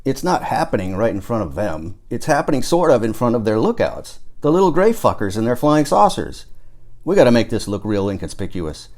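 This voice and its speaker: A man voicing an excitable, conspiracy-minded amateur rocket schemer in audiobook dialogue, explaining things emphatically. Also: medium pitch, fairly quick. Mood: insistent. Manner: emphatic, explanatory, catchphrase-prone.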